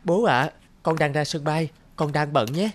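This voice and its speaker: A woman playing a busy, impatient young fashion designer in a cartoon, talking in a brisk, curt way. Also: low voice. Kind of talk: cartoon dialogue acting.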